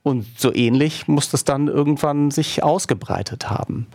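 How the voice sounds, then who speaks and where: A man hosting a conversational interview, phrasing his thoughts in a halting, reflective way. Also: on the high side, gravelly, a little mellow, no room sound, clean studio sound. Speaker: interview host.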